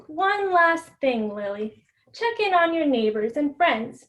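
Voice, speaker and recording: Patient, caring picture-book mother acted by a woman, high voice, teaching tone. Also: very animated, slow pace, lo-fi sound, exaggerated voice. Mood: patient instructing. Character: caring, patient mommy teaching her daughter hygiene, storybook.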